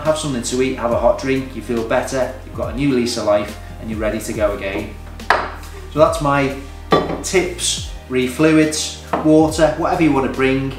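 Man in an echoey room, explaining plainly. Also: medium pitch. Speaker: hiking vlogger giving beginner tips. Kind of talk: informal instructional talk to camera. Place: indoors, small home room at a table. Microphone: camera a few metres away. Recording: echoey room, distant mic, faint music.

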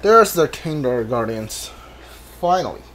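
A man's voice: medium pitch, sing-song, a little slow, a bit bright, slight background noise. A man giving casual commentary as he plays through a video game, as a streamer. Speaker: gameplay streamer.

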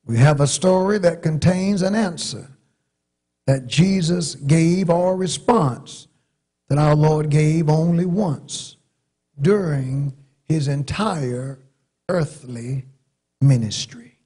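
Middle-aged southern preacher guy, kinda high voice, slow with big pauses, swells up on key words. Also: mellow, slightly rough. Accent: Southern American. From North Carolina, USA. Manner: preaching with long dramatic pauses and swelling emphasis. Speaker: pastor. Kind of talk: sermon. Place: large church sanctuary, at a pulpit. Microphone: pulpit microphone.

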